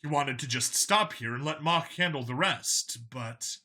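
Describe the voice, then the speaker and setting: A man's voice: mid-range voice, measured pace, sharp tone. A man reading scripted science fiction as an audiobook narrator, in a dramatic storytelling style.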